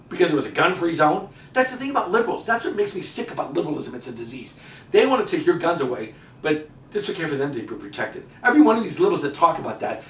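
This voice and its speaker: A man's voice: fairly high pitch, fairly bright tone, reverberant, far from the mic. A man delivering a heated, sarcastic political rant as a monologue. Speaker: political commentator. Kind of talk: ranting monologue.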